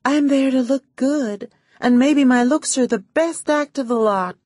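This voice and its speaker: A woman's voice: sits high, measured pace, a little mellow, dry recording, voice pushed up. A woman narrator puts on a glamorous female film star in an audiobook mystery. She is poised and shrewd, with knowing amusement under the polish. The recording is dry and close. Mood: amused candor.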